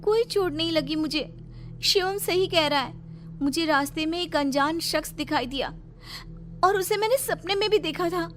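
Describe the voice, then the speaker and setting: A woman's voice: extremely high pitch, moderate pace, a bit bright, faint music behind. A woman voicing an anxious young college girl with eerie premonitions in a horror cartoon, earnest and dramatic. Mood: uneasy. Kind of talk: Hindi horror cartoon dubbing.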